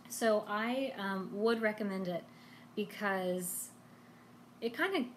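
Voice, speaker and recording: A woman's voice: medium pitch, slow pace, a little hiss or hum. A woman hosting a language lesson live stream, talking in a casual, chatty and encouraging way.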